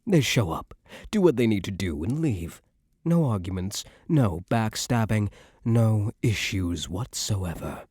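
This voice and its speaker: A man playing a cocky young mafia heir who is a doting but menacing boyfriend, in an intimate ASMR roleplay with slow, smug tough-guy slang. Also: on the low side, expressive, a little mellow, breathy edge, dry recording, studio quality. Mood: musing, confiding.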